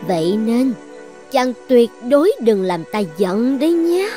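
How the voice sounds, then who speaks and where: A woman's voice: a little high, very animated, unhurried, mellow, faint music behind. A woman voicing a possessive, lovesick sorceress princess in a cartoon fairy tale, commanding and coaxing with an edge of menace.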